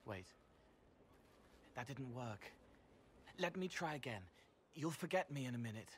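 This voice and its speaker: A man voicing a gentle, otherworldly young male spirit in a video game, speaking in fragmented, poetic, halting phrases. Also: medium pitch, animated, a little hiss or hum, natural voice. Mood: earnest, troubled. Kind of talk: dramatic video game voice acting.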